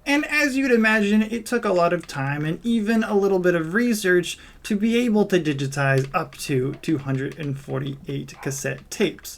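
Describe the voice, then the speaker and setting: A man's voice: high voice, gravelly. A man hosting a video series, reading scripted narration with a theatrical, emphatic delivery.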